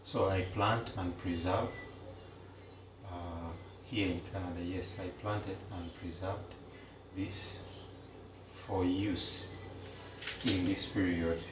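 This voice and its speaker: A man, a Luganda speaker, chatting casually to viewers as he cooks in a home kitchen, slow and repetitive. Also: low-pitched, fairly even intonation, roomy sound, distant mic, noisy background. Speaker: cooking vlogger. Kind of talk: casual live-stream chat.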